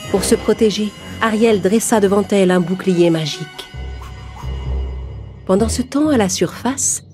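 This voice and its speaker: A woman's voice: mid-range voice, measured pace, darkish tone. A woman narrator reading a scripted fairy tale for children. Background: background music.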